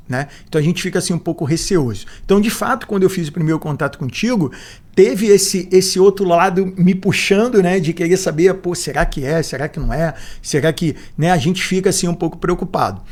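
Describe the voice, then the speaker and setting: A man's voice: on the high side, a little fast. Reflective Brazilian man in his forties. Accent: Brazilian Portuguese. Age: 40s. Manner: reflective, repeats words as he thinks, frequent "né?". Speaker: guest. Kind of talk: podcast interview.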